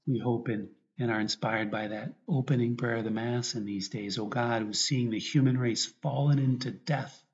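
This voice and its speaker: A man, a priest, guiding a meditation and prayer in a reflective way, often pausing mid-thought. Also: mid-range voice, steady tone. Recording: dull, lo-fi recording.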